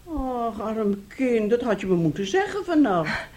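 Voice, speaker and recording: An actress in a Dutch radio play playing a chatty, sentimental older aunt who rambles and exclaims. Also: female voice, fairly high pitch, very animated, measured pace, darkish tone. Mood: tender. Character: chatty, sentimental aunt reminiscing about old romance. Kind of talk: Dutch radio drama acting.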